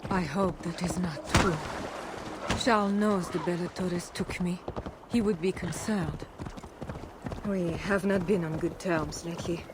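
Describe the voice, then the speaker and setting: A woman playing a dignified, guarded and pious medieval Frankish queen in measured, formal video game dialogue. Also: lowish voice, fairly even intonation, heavy background noise, natural voice. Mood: earnest, resolute. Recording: music behind the voice.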